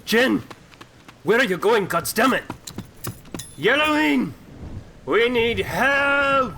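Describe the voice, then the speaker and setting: A man playing an earnest, harried young knight in a fantasy audio drama, urgent and deferential, with a creaky voice. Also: very high voice, sing-song, takes it slowly, pushed and bright, a little hiss or hum, full character voice.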